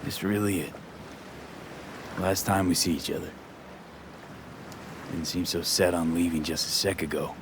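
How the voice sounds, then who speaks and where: A man's voice: low voice, steady tone, unhurried, long pauses, noisy background. A man playing a brooding but sincere streetwise mercenary in a video game, speaking in a casual, clipped, earnest way. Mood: subdued. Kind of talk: naturalistic video game voice acting.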